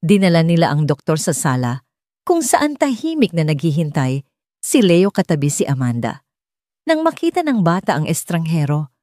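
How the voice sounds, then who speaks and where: A woman's voice: fairly low pitch, a little mellow, no echo, clean studio sound. A woman narrator reading a scripted dramatic story.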